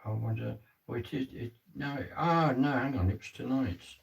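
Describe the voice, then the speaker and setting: A man sitting in at a séance who manages the broadcast, answering in casual, halting replies. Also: mid-range voice, vocal fry, far from the mic. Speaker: sitter running the broadcast. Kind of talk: casual chat.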